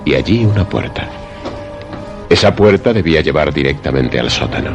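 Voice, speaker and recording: Very deep-voiced man narrating suspense. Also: animated. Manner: measured, literary storytelling. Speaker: narrator of a horror radio play. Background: music under the voice.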